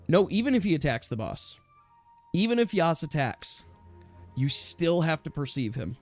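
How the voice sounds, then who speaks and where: A man running a tabletop game, explaining rules casually in a chatty, halting way. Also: fairly high pitch, sing-song, no echo, soft background music. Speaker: game master.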